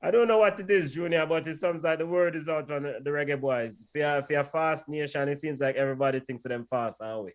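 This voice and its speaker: A man's voice: a little high, steady tone, fast talker, few pauses. Man calling a football match. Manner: calls the action, pleads with players and the referee. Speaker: co-host and match commentator. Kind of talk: casual watch-along play-by-play.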